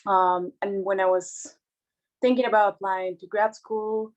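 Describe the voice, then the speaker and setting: A woman from Colombia speaks on an online panel as a PhD candidate. Her voice sits in a middle range and her manner is brisk and friendly. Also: medium pitch, fairly even intonation, lo-fi sound. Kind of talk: self-introduction on panel.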